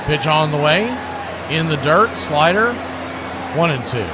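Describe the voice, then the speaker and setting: A fairly high-pitched man calls a baseball game play by play in short, live phrases. A loud wash of outdoor-like noise runs behind his voice. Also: lively intonation, measured pace, a crowd in the background. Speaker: baseball play-by-play announcer, host. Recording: very noisy outdoor-sounding ambience behind.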